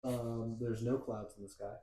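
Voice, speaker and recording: A man on a casual podcast recaps a film scene by scene and tosses in joking asides. His voice is mellow and dark. The sound is roomy, with the echo of an untreated room. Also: medium pitch, flat delivery, moderate pace. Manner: walks through the plot with playful asides. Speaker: podcast host. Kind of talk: casual movie recap chat.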